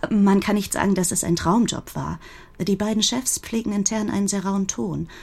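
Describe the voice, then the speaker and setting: A woman playing a grieving, protective older sister who gives an earnest, confiding statement in a German radio crime drama, with a husky voice. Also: medium pitch, moderate pace, darkish tone. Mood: earnest, recounting. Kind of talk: German radio play acting, recounting testimony.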